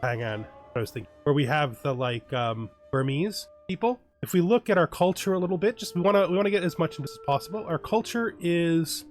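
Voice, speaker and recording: A man giving relaxed let's play commentary on a strategy game, thinking aloud and often restarting his sentences. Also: on the high side, a little fast, hardly any pauses, soft background music. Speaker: gaming YouTuber.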